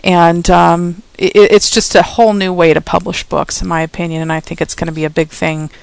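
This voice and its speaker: A woman with a slightly low voice, recorded dry and close. She co-hosts a casual chat, often hesitating and restarting sentences with ums. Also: little pitch movement, a little fast, gravelly, darkish tone, no echo. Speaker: podcast co-host.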